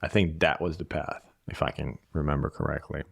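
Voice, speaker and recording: Deep-voiced man, measured and reflective. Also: level tone, vocal fry, husky, dry recording, studio quality. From Bosnia. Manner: measured, repeats key phrases. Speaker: guest. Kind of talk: reflective interview conversation.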